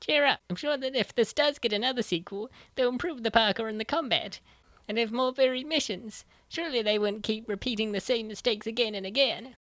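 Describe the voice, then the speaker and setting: A woman voicing a sensible, patient young female gamer in a comic dub, reasoning in a measured, coaxing way. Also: fairly high pitch, a bit bright, no room sound, close to an everyday voice. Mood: reasoning, encouraging.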